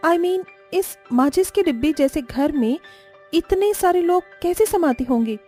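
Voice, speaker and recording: Spoiled, dramatic young bride played by a woman for animated family story; very high voice, exasperated, English exclamations, faint music. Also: measured pace. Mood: dismayed. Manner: mixes English exclamations into complaints.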